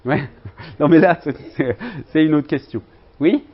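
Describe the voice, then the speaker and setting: A man's voice: a little high, unhurried, breathy edge, dull audio. A man lecturing in a university linguistics seminar, talking loosely with students and hesitating often with fillers and restarts.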